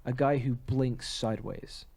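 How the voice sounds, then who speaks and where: A man's voice: medium pitch, steady tone, measured pace, creaky, darkish tone. A man co-hosting a podcast, talking in a casual, conversational way. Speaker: podcast co-host.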